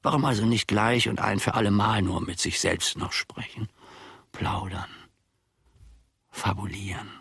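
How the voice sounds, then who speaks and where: A self-important old servant muttering through a radio drama monologue. The male voice is low and husky, recorded close and dry. He muses deliberately, grumbles, and often drops to a confiding murmur. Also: fairly even intonation, fairly bright tone, dry recording, full character voice. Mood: grumbling, confiding. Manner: musing, deliberate, theatrical, often dropping to a murmur. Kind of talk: German radio play monologue.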